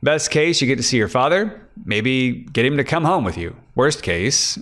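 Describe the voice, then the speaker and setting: A man's voice: medium pitch, sing-song, moderate pace, fairly bright tone, pitched above the performer's own voice. A man voicing a weary, blunt private detective boss in a crime audiobook, terse and matter-of-fact. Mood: businesslike.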